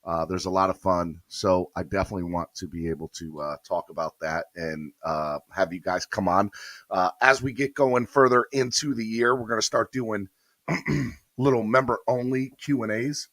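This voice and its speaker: A man hosting a morning sports podcast, slightly hoarse, talking upbeat and straight to his viewers. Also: lowish voice, moderate pace, fairly bright tone, no room sound. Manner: upbeat, addresses viewers directly. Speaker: podcast host. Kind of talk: morning sports show intro.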